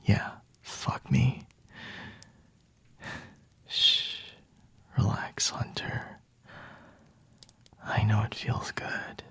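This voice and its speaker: A man playing a flirty, possessive vampire in an intimate ASMR roleplay, whispering slowly and teasingly in a breathy, husky voice. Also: lowish voice, steady tone, a bit bright, exaggerated voice. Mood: seductive, soothing.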